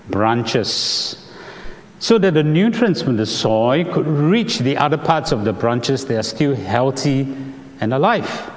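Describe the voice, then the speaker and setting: Slow-speaking Singaporean preacher in a hall. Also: male voice, medium pitch. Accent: Singaporean English. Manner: measured, explanatory, with "uh" fillers. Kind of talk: sermon.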